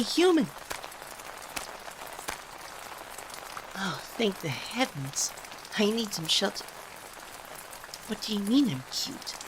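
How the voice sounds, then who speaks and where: Male actor for proud, indignant young cat-god, lightish male register, very measured pace, theatrical audio roleplay delivery. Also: high voice, background noise, exaggerated voice. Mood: excited, outraged. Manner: haughty, flustered, theatrical, easily indignant. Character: banished proud young male forest deity, cursed into cat form. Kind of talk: scripted ASMR roleplay addressed to the listener. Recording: rain sounds over the voice. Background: rain ambience.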